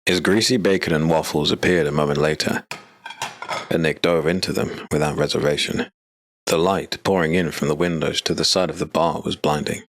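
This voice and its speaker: A man narrating a dark fantasy audiobook in a steady, measured way. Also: a bass voice.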